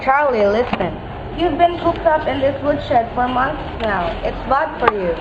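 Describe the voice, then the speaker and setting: A high voice on a dull, noisy recording: a woman acting a young woman in a radio play. She is direct, teasing and caring. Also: animated, measured pace. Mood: coaxing. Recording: dull lo-fi audio, noisy background.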